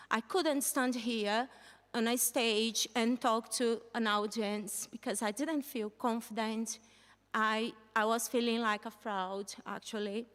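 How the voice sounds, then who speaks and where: A woman's voice: on the high side, fairly bright tone. A woman from Brazil whose first language is Portuguese, with a Brazilian accent, in her thirties, telling her story live to an audience in a chatty way. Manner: chatty, laughs between lines. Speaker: guest speaker. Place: live venue stage with audience. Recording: long hall reverb.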